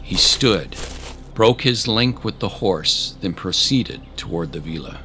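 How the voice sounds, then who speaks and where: A man reading scripted dramatic narration of a fantasy adventure in a measured, deliberate way with pauses for effect. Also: on the low side, expressive, background noise. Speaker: storyteller, narrator.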